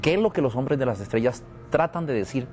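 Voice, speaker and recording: A man's voice: medium pitch, expressive, fairly quick, a little mellow, soft background music. A man from Venezuela, interviewed about prophecy, speaking in a solemn, repetitive, preaching cadence. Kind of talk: spiritual and prophetic talk.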